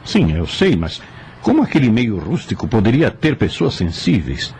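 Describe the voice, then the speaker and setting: Man acting as puzzled seeker, lowish voice, lively intonation, questioning, radio drama, faint noise. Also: moderate pace. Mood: perplexed. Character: perplexed, inquisitive man questioning a spirit guide.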